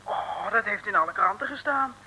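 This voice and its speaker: A woman's voice: mid-range voice, lively intonation, moderate pace, pushed and bright. An actress playing a pert, chatty female detective sidekick in an old radio serial. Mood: curious, impressed. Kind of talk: 1950s-60s radio serial acting. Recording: noisy old radio broadcast recording.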